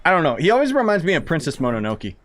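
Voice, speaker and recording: A man's voice: on the high side, wildly swooping pitch, rapid-fire, fairly bright tone. A man live streaming a video game with laid-back, jokey, off-the-cuff commentary. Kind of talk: casual gaming commentary. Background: game music.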